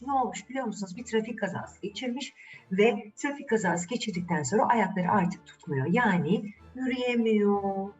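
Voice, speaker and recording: A woman's voice: mid-range voice, far from the mic, dull audio. A woman telling a fairy tale to children conversationally, addressing them directly with questions. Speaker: children's storyteller.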